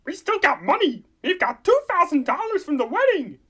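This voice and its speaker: A man voicing a devoted, eager young wife puppet in raspy falsetto for a comic radio play, gushing and emphatic. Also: sing-song, normal speed, sharp tone, exaggerated voice.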